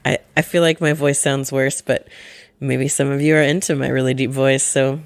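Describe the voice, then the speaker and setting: A woman co-hosting a casual podcast, her voice gravelly and lower from a respiratory infection, chatty and often correcting herself. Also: flat delivery, moderate pace, no echo. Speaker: podcast co-host.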